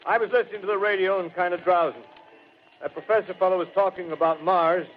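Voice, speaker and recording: An actor playing a slow, rambling country farmer in a 1930s radio drama, halting and folksy. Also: male voice, high voice, fairly even intonation, close to an everyday voice. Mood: bewildered, plodding. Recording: muffled, noisy old 1930s radio broadcast.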